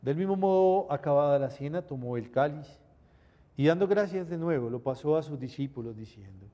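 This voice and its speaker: Reverberant middle-aged priest praying solemnly. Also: male voice, a little high, expressive, dark tone. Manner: measured, reverent recitation. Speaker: priest celebrating Mass. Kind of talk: solemn liturgical prayer. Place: indoor chapel at an altar. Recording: reverberant chapel sound.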